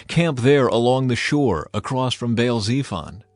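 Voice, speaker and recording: A man's voice: mid-range voice, expressive, measured pace, no echo, faint music behind. A man voicing a stern, authoritative God giving commands in a dramatized scripture reading. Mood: commanding, resolute. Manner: authoritative, declarative. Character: commanding male God of Israel, stern and sovereign.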